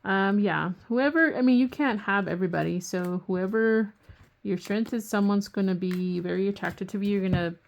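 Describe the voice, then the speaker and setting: Gravelly, rambling woman tarot reader. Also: medium pitch, moderate pace. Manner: rambling, often restarts sentences; swears casually. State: losing her voice. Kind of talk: casual tarot reading to camera.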